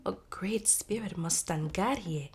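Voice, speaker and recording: A woman voicing a kind, dignified young village woman, gentle and sincere, in a storybook tale. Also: fairly low pitch, a little slow, slight background noise, lightly acted. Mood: awed.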